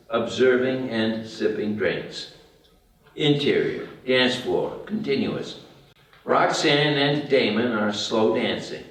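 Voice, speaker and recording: Slow-paced man narrating in an echoey room. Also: medium pitch. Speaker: stage directions narrator. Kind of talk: scripted screenplay narration at a table read. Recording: very echoey room, distant mic.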